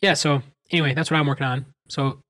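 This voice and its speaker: A man's voice: on the high side, fairly even intonation, quick pace, sharp tone. A man co-hosting a podcast, chatting casually about books and feeling his way through sentences with filler words. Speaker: podcast co-host.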